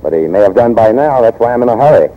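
An actor playing a bold, headstrong young American consul in old-time radio drama, cocky, forceful and plain-spoken, with an American accent. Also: male voice, mid-range voice, expressive, quick pace, lightly acted. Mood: eager, insistent. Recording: dull vintage radio recording.

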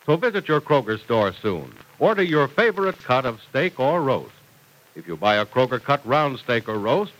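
A man's voice: medium pitch, fairly bright tone, low-quality recording. An American man working as an old-time radio announcer, reading in an emphatic, salesmanlike style. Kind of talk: 1940s radio announcing and ad read.

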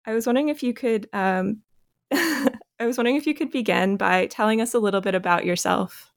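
A woman's voice: on the high side, a little fast, creaky. A woman hosting a podcast interview, speaking hesitantly with frequent fillers. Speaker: podcast host.

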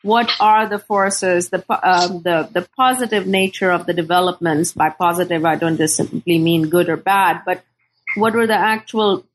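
A middle-aged woman from Pakistan speaking as a scholar in an interview, thoughtful and deliberate, often pausing to search for words. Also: fairly low pitch, slight background noise, poor audio. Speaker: scholar and author being interviewed. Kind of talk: thoughtful academic interview talk.

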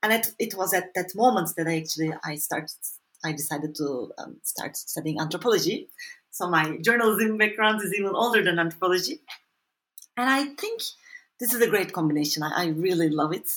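A woman whose first language is Turkish, an author answering interview questions thoughtfully and pausing to search for words. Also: lowish voice, expressive, fairly bright tone. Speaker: author and anthropologist guest.

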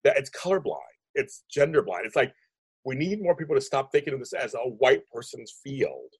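Brief: man, 50s, deep and husky, rapid emphatic interview guest, dry close sound. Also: sing-song, no room sound. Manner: rambling, restarts sentences, emphatic. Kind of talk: animated conversational interview.

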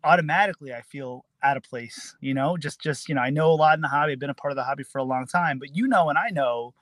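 Fast-talking New man. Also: fairly high pitch, fairly bright tone, no room sound. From New Jersey, USA. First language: Tagalog. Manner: earnest, quick, repeats words. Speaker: guest. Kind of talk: casual interview chat.

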